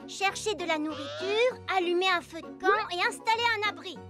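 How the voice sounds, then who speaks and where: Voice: very high-pitched, normal speed. A performer voicing an earnest, cautious young boy woodpecker scout in a French cartoon dub, careful and bookish. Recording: loud cartoon music behind.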